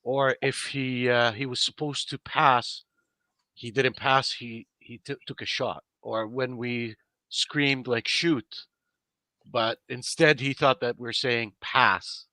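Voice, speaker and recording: A man whose first language is Arabic, explaining his thoughts calmly on a podcast and often checking in with "right?". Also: mid-range voice, slow, no room sound. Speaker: podcast panelist. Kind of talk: casual podcast chat.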